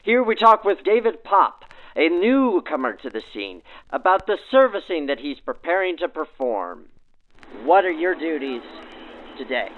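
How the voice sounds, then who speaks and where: A thin, phone-narrow vintage radio sound frames this man's somewhat high voice. He hosts like a 1940s announcer, presenting and interviewing in formal, florid phrases. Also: sing-song, normal speed. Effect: backdated 1940s radio filter. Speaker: host, interviewer. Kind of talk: scripted 1940s radio-style narration and interviews.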